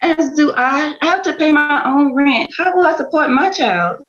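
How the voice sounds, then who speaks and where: A woman's voice: sits high, expressive, normal speed, fairly bright tone. Woman acting a flirty, needy drama queen, swooping voice. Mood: pleading. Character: flirtatious, needy, melodramatic kept woman, a mother. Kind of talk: table read of a screenplay. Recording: dull lo-fi sound.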